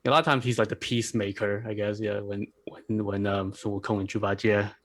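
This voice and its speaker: A man's voice: fairly low pitch, flat delivery, a little fast, a bit bright. A man chatting as a podcast guest, hesitant and peppering his talk with small filler phrases. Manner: hesitant, frequent "like" and "you know". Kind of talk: casual discussion.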